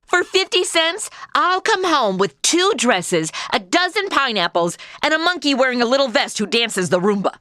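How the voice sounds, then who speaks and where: An actress playing a brash, sassy modern time traveller in a comedy audio drama, snarky and breezy, full of quips. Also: female voice, high-pitched, big pitch swings, normal speed, hardly any pauses, pushed and bright, full character voice. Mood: breezy, teasing. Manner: snarky, breezy, pop-culture quipping.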